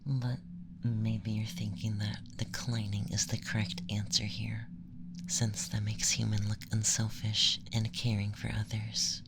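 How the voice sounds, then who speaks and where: A performer voicing an aloof, teasing alien femboy interrogator in a slow, deliberate, soft ASMR whisper. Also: medium pitch, level tone, creaky, noisy background. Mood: teasing, probing.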